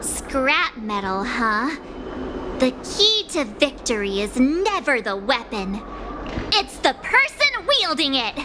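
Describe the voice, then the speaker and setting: Cheeky young game heroine: very high voice, unhurried, lively swings, spirited and defiant. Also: female voice, background noise, heavily acted. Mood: confident. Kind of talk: video game voice acting, anime-like. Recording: very loud background music.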